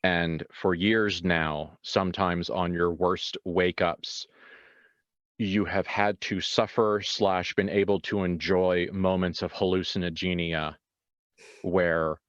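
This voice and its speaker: A man improvising tabletop horror narration as game master in a conversational, halting way, reworking his phrases as he goes. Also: low voice, a bit bright, no echo. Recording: muffled lo-fi sound, music behind. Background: background music.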